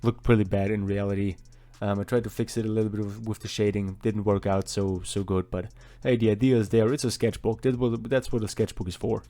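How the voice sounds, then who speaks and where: Man rambling casually, mellow low voice. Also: flat delivery, fairly quick, few pauses. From Austria. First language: German. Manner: rambling, self-deprecating, chatty. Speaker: artist vlogger. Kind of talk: casual voice-over while drawing.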